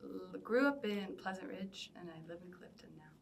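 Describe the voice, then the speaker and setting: A woman's voice: medium pitch, lively intonation, moderate pace, creaky. A young American woman talking casually in a group interview. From Cincinnati, United States. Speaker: band keyboardist and guitarist being interviewed. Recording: distant mic with faint room noise.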